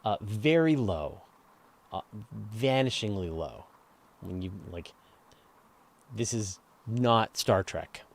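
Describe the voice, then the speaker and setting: A man's voice: lowish voice, lively intonation, takes it slowly, long pauses. A man hosting a casual live question-and-answer show, explaining step by step in a conversational way and stressing key words. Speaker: host of a live space and astronomy Q&A show.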